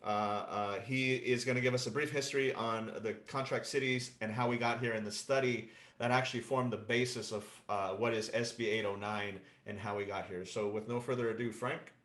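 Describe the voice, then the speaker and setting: A man's voice: mid-range voice, steady tone, fairly quick, few pauses, fairly bright tone. A man hosts an online session in a loose, joking manner, his words broken up by fillers. His voice sits in the middle range. The call sounds roomy and echoey. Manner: casual, joking, with frequent fillers. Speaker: meeting moderator. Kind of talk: hosting a virtual meeting. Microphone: video call.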